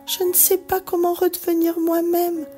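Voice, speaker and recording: A woman voicing a kind young fairy-tale princess, gentle, earnest and full of feeling. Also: extremely high pitch, monotone, moderate pace, soft background music, voice pushed up. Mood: sad. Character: kind young princess, turned into a small frog.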